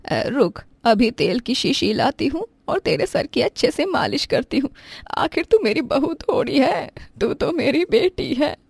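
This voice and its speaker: A woman's voice: high-pitched, very animated, measured pace, vocal fry, darkish tone, dry recording, full character voice. A woman voicing a kindly, meek older mother-in-law who pleads affectionately in a family moral story. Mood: gentle, affectionate. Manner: pleading, affectionate, self-effacing.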